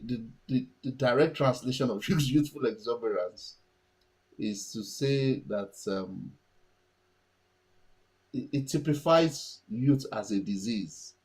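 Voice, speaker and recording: A man's voice: fairly low pitch, breathy edge, rough recording. A man whose first language is Yoruba, giving casual market commentary and thinking aloud with many restarts and fillers.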